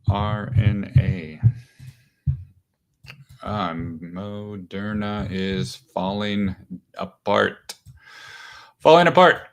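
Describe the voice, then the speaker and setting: Casual, low-voiced man reading stock charts. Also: a bit bright. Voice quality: smooth. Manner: loose, thinking aloud, many fillers and restarts. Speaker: stock market show host. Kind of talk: casual live chart commentary.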